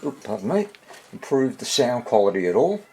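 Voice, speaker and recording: A man with a husky voice giving a casual hands-on repair demonstration, half muttering to himself as he works. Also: mid-range voice, sing-song, brisk, mellow, slight background noise. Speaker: hobbyist radio repairer.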